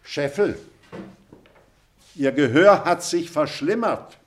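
An older actor playing an elderly schoolmaster, pedantic and wistful, lecturing deliberately in a German radio play. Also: male voice, fairly high pitch, animated. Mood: lecturing, reflective.

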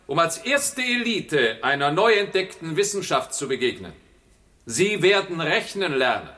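A man's voice: fairly high pitch, fairly bright tone. A man acting a pompous, condescending and scheming general with oratorical courtesy in a 1960s German radio play. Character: smug, pompous male general, scheming behind courteous words.

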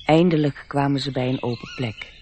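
An actor as the gentle narrator of a fairy tale in a radio play, telling it in storybook style. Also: male voice, mid-range voice, huge pitch swings, a little fast, noisy background. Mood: lyrical.

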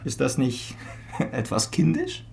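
A man's voice: mid-range voice, wildly swooping pitch, slow, gravelly, a little mellow, exaggerated voice. Sardonic male police detective. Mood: needling. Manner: ironic, needling. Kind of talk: German radio crime drama acting.

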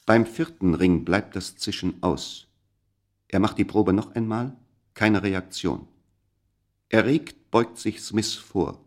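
A male narrator of a scripted radio play with a deep voice. He tells the story in a measured, suspenseful way. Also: a little mellow.

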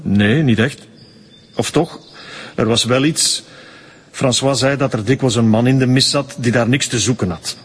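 A prim, devout church sexton in a Flemish radio play, acted by a man. His voice is husky and sits at an ordinary male pitch. He speaks formally, curt and evasive. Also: normal speed, lightly acted. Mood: guarded. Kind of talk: radio drama acting.

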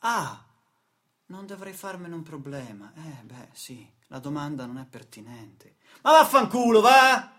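A man's voice: high voice, animated, unhurried, voice pushed up. A man playing a desperate, broke trainee worker in a radio play, protesting to his boss in a confrontational, colloquial way. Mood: exasperated, furious. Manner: loud, pleading, confrontational, colloquial.

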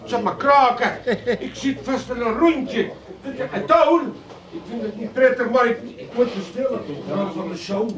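A man's voice: very high voice, normal speed, crowd noise. A man playing a talkative, needy old father in a radio comedy, stammering and repeating his words with an Amsterdam accent. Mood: flustered, grumbling. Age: older. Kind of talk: 1980s radio comedy acting.

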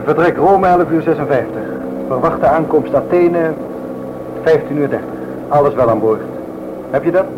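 An actor playing a calm, seasoned 1930s airliner captain in a radio drama, matter-of-fact and clipped. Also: male voice, on the high side, moderate pace, background noise, close to an everyday voice. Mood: businesslike. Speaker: pilot, captain. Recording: muffled, loud aircraft engine behind. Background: loud engine drone.

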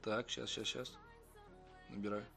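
A man's voice: fairly low pitch, level tone, unhurried, creaky, bright, forceful tone, faint music behind. A man hosting a prank-call livestream in casual, slangy, foul-mouthed chat. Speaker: livestream host making prank calls.

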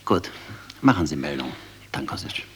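Very low, brusque, scornful colonel. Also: male voice, expressive, normal speed, noisy background. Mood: brooding. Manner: brusque, commanding, cynically mocking. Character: ruthless, scornful intelligence colonel, fanatical conspirator. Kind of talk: German radio drama acting.

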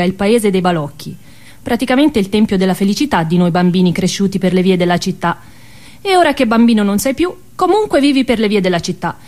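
A woman's voice: mid-range voice, a little mellow. A woman with a Tuscan accent tells a first-person story as an actress in a stage monologue.

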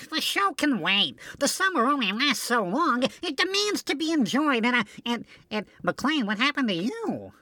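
A woman's voice: high-pitched, sing-song, normal speed, bright, forceful tone, no room sound. A woman doing scripted comic banter in a breezy, eager manner.

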